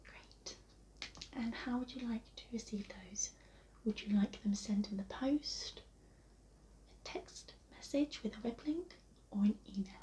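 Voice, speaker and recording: A woman with an English accent speaking softly and breathily, often near a whisper, in a polite, reassuring, unhurried ASMR receptionist roleplay. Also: on the high side. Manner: polite, reassuring, unhurried customer-service talk. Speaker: ASMR host playing a receptionist. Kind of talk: soft-spoken ASMR roleplay. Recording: lo-fi, muffled, noticeable background hiss.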